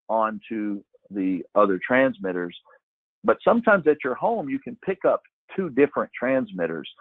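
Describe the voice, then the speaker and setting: A middle-aged man with a husky voice giving an informal, conversational webinar talk as presenter, explaining things and reminiscing. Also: mid-range voice, dry recording. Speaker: webinar presenter, former broadcast meteorologist. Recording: muffled narrow phone-like line.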